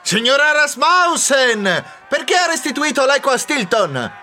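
A man voicing eager mouse journalists who squeak questions and thanks. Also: big pitch swings, a little slow, sharp tone, a little hiss or hum, exaggerated voice.

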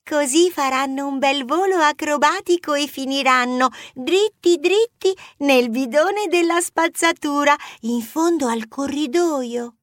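Man putting on a squeaky cheeky young mouse. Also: unhurried, few pauses, a bit bright, no room sound, clean studio sound. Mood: eager. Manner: high put-on voice, eager.

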